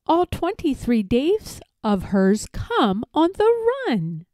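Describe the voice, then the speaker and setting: A woman reading rhyming children's stories aloud in an expressive, theatrical storytelling manner. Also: sits high, slow, no echo, studio quality. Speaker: storybook reader.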